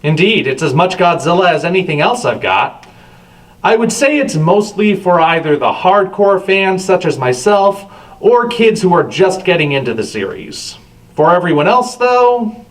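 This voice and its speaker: A man's voice: sits high, big pitch swings, measured pace. A man hosting a review with scripted commentary and a wry, sardonic manner. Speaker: reviewer, show host. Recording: distant from the microphone.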